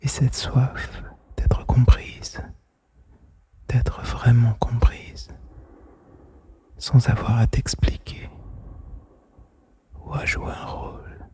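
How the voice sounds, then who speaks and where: A man whispering softly and intimately in an ASMR style, soothing and gentle, close to the microphone. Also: medium pitch, level tone, drawn-out words, long pauses. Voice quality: breathy, mostly unvoiced whisper. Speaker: host of a whispered relaxation podcast. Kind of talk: ASMR whispered guided relaxation monologue. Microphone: close microphone, made for headphones.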